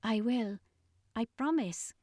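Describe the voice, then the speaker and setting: A woman's voice: fairly high pitch, slow, dark tone, no room sound, studio quality, pitched above the performer's own voice. A woman voicing an earnest, humble female alien survivor in an audiobook story. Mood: regretful, earnest.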